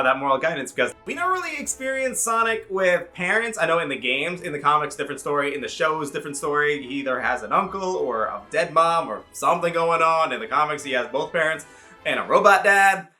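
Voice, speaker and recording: A man in his thirties giving a casual, comedic movie review, rambling excitedly with swearing and jokey asides. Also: fairly high pitch. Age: 30s.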